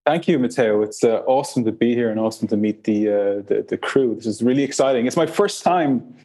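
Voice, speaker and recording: A man's voice: medium pitch, brisk, dark tone, slightly rough. A man introducing himself as a panel guest, eager and stumbling over fillers. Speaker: panel guest, head of a bank innovation lab.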